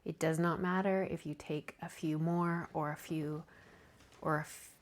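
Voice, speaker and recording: A woman guides a deep-rest meditation as a yoga nidra teacher. Her voice sits slightly low and moves at a very unhurried pace. The delivery is gentle and calming, with a soft, breathy touch.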